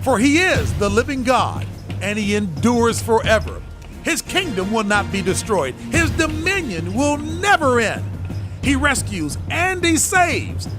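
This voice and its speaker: An impassioned king of an audio Bible drama. His voice is pitched very high and swings widely as he declaims with royal command. Dramatic music plays clearly behind him. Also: big pitch swings, measured pace, full character voice. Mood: exultant proclamation. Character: anguished, commanding king issuing royal decrees.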